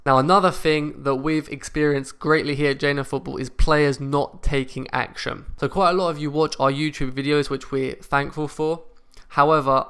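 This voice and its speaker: A young man hosting a football channel, giving direct, steady motivational advice to camera. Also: mid-range voice, clean tone. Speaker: football career coach and channel host.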